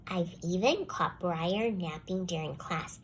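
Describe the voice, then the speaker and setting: A woman voicing a young schoolgirl telling a first-person storybook tale with expressive, put-upon delivery. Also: fairly low pitch, takes it slowly, slight background noise. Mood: indignant. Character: jealous young schoolgirl, grudgingly softening toward the dog. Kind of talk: scripted children's storybook read-aloud.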